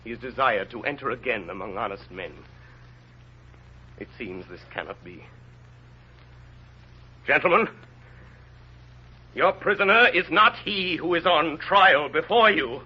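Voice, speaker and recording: A man's voice: medium pitch, animated, measured pace, pauses often, breathy edge. A man playing a dignified, conscience-stricken mayor in an old radio drama, grave and theatrical in delivery. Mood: impassioned, confessing. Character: dignified middle-aged mayor with a tormented conscience, solemn and earnest. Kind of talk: 1930s radio drama acting. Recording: dull old radio recording with music.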